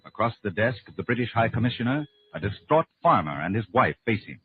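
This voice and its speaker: A man's voice: fairly low pitch, big pitch swings, quick pace. A man doing dramatic, emphatic scripted announcing and narration in a 1940s radio style. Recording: tinny narrow-band old radio broadcast.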